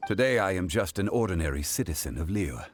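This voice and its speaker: A man voicing a calm, dignified former male god in a video game, speaking in a measured, formal way. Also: sits low, a little hiss or hum. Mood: composed. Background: game music.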